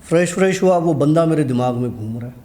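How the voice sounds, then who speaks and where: A man's voice: a little high, animated, quick pace, dark tone. A man telling a personal horror anecdote in a conversational way, acting out the dialogue as he goes. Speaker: guest storyteller.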